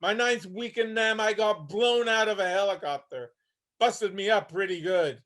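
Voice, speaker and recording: Man playing a haunted homeless old veteran, high voice, gritty plainspoken delivery, bitter, world-weary, stage drama monologue. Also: moderate pace, a bit bright. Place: online video call reading.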